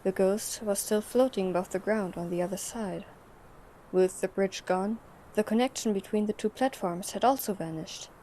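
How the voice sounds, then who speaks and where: A woman narrates a scripted story. Her voice is mellow and of medium pitch. There is faint noise behind her. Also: normal speed.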